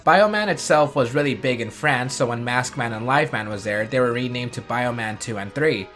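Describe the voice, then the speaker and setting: A man hosts a video essay with scripted narration. His voice has a middle pitch, and music plays beneath it. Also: a little fast, a bit bright. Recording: music bed under the voice. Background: background music.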